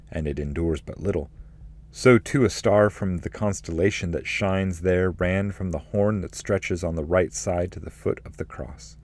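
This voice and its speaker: A man giving a scripted literary narration and reading of poetry, in a measured, formal way. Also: sits low, darkish tone. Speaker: narrator, reader.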